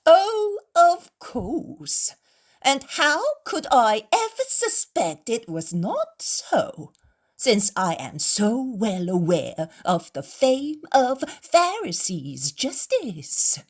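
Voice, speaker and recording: A woman reading a story aloud, voicing a robust male innkeeper who shouts and threatens with scorn. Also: on the high side, big pitch swings, drawn-out words, voice pushed up, heavily acted. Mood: mocking. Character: robust burly male innkeeper, fierce and mocking.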